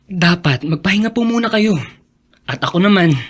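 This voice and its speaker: High-voiced, earnest young Filipino son. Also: male voice, animated, normal speed. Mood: concerned, dutiful. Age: young adult. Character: caring, earnest young college son, quietly hurt. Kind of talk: Filipino radio drama acting.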